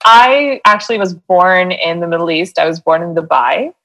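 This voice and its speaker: A woman's voice: on the low side, animated, moderate pace, a bit bright, faint music behind, rough recording. A woman in her thirties with a Canadian accent, a coach talking earnestly in a casual interview, rambling with many fillers. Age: 30s. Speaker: guest, transformation coach.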